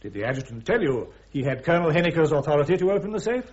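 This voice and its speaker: An actor playing a brisk army major prosecuting at a court-martial in a radio drama, with a British accent and formal, methodical questioning. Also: male voice, on the high side, sing-song, a little mellow. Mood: brisk, probing. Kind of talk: full-cast radio drama acting. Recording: dull, muffled radio recording.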